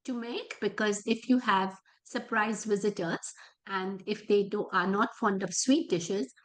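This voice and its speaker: Croaky-voiced woman explaining a recipe on a video call, homely and easygoing. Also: medium pitch, unhurried, poor audio. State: hoarse. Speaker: cooking consultant. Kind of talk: casual class presentation.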